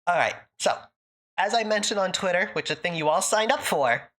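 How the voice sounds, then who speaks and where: A woman's voice: fairly low pitch, animated, fairly quick, a bit bright. A woman hosting a casual group chat on a livestream, teasing her guests and laughing often. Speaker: stream host.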